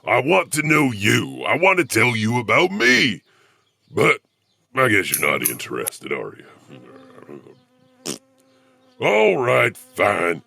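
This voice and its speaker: A man playing a lonely, theatrical storyteller in a horror audio drama, gravelly and halting. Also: mid-range voice, very animated, pushed and bright, no room sound, heavily acted. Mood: pleading, wounded. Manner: theatrical, halting, chuckling between thoughts. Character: lonely, theatrical storyteller janitor who loves Shakespeare.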